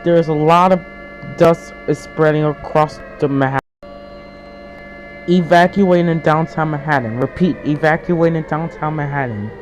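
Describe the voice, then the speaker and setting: A man's voice: on the high side, normal speed, soft background music, lightly acted. A man playing a solemn emergency alert announcer, giving formal, stilted, repeated warnings in an amateur mock broadcast. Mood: urgent, formal. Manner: formal, repetitive, stilted delivery.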